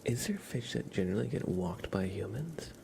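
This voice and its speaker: Guy streaming asmr, hushed n rambly, lots of vocal fry, whispers a bunch, noisy background. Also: fairly low pitch, lively intonation, normal speed. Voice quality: soft, often breaking into whispers. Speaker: livestream host. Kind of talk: ASMR livestream chat, reading and answering viewer comments.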